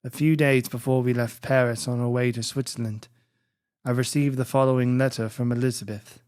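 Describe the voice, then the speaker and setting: A narrator reading a literary story in a formal, measured, dramatic audiobook style. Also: medium pitch, steady tone, darkish tone, no room sound, studio quality.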